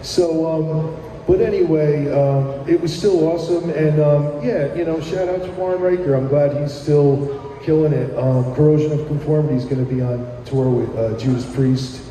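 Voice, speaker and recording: A man's voice: a little high, steady tone, moderate pace, dark tone, slight background noise. A middle-aged American man, a musician guest, chatting casually and telling rambling, enthusiastic anecdotes. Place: live radio broadcast at a public plaza. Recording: echoey hall with music behind.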